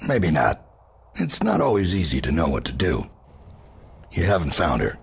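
A hard-boiled private eye in a detective radio play. His voice is deep, full of gravel and extremely husky, with lively swings in pitch. He stays cool, laconic and reassuring. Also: exaggerated voice. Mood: calm, probing. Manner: laconic, unhurried, matter-of-fact. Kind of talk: dramatized detective audiobook acting.

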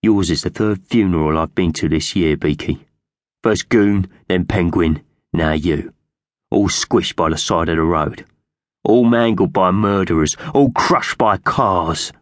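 A man's voice: sits low, lively intonation, measured pace, no room sound. A man voicing a sleek, cruel magpie gang boss in a children's storybook, solemn, commanding and hard-edged. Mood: solemn, hardening.

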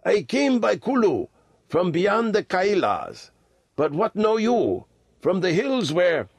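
Storybook role: aged Tibetan lama acted by a man, humble and earnest, high lilting voice, dry close sound. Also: wildly swooping pitch, slow pace, creaky, dry recording, exaggerated voice. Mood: wistful.

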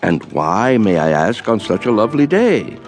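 Hopeful old rest-home resident, courtly and mild, animated pitch, radio drama. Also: male voice, moderate pace, faint music behind. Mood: cheerful, courteous. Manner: courtly, mild, well-spoken. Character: gentle, hopeful elderly man in a rest home.

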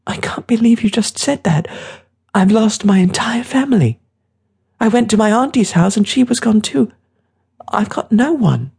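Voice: medium pitch, expressive, moderate pace, vocal fry, darkish tone, slightly rough, voice pushed up, exaggerated voice. A narrator voicing a frightened, grieving, sulky ten-year-old boy who talks in a plaintive, protesting way. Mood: tearful, scared.

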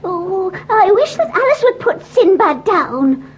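Very high, animated mother cat. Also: measured pace, a little hiss or hum, heavily acted. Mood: reassuring. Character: motherly female cat, reassuring, wistful. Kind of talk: audiobook drama acting.

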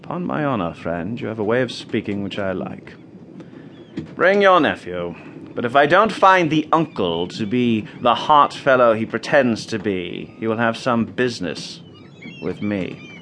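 A curt steamer captain. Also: male voice, medium pitch, very animated, a little slow, a bit bright, slight background noise. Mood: stern. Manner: curt, commanding. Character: stern brisk male steamer captain. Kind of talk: radio drama acting.

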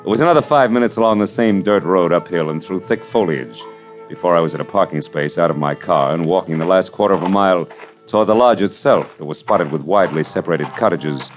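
A man doing a hard-boiled private eye with a very deep voice, cool and wisecracking as he narrates the story. The sound is dull and muffled, an old radio broadcast recording with faint music underneath. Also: natural voice. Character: wisecracking tough male private eye, middle-aged. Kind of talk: 1940s radio drama acting.

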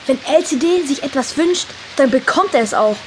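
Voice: sits high, animated, normal speed, a bit bright, slight background noise, low-quality recording. A performer playing an indignant, gossipy schoolchild in a children's audio drama, emphatic and scornful.

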